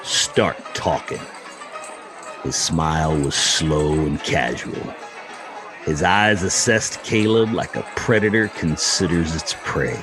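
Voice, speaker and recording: Low, slow-speaking male story narrator. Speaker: story reader. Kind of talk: dramatic audiobook narration. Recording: music and crowd murmur underneath.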